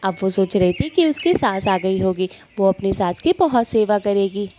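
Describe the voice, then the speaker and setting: A woman narrating a cartoon story in a plain, steady way. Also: medium pitch, moderate pace, a little hiss or hum.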